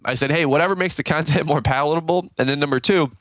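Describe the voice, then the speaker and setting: A man hosting his own podcast in a casual, chatty way, a bit raspy and tired, with many fillers and restarts. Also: fairly high pitch, fast talker, a bit bright, no room sound. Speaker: podcast host. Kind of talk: casual solo podcast talk.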